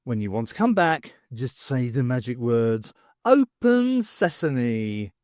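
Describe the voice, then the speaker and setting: A man's voice: mid-range voice, big pitch swings, slow pace, darkish tone, no echo, studio quality. A man voicing a self-pitying magician vizier who is really a computer program, whiny and sardonic.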